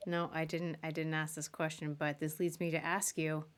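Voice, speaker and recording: Lower-pitched woman hosting a casual interview. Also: little pitch movement, moderate pace. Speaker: podcast host interviewing travel professionals.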